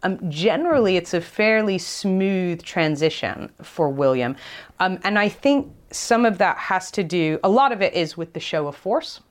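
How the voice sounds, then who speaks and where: A woman's voice: lowish voice, animated, normal speed. A woman speaking as an academic guest in a conversational interview, explaining things in a hesitant, thinking-aloud way. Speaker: academic expert guest, archaeologist.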